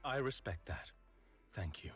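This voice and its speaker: A composed game character, a formal envoy with a low, deep voice. He is polite and measured, every phrase courteous, with music playing underneath. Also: lively intonation. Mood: respectful, sincere. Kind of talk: video game voice acting.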